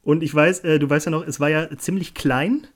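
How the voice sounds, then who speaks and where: Casting: young male podcaster, lightly high-pitched, chatty and rambling, loose colloquial humour. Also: steady tone, brisk. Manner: rambling, jokey, colloquial slang. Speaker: podcast co-host. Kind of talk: casual unscripted podcast chat. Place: small hotel room.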